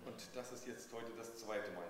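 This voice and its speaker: A man's voice: on the low side, moderate pace. A man interpreting a sermon live, phrase by phrase, as a preacher's consecutive interpreter. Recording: noisy echoey hall with long reverb.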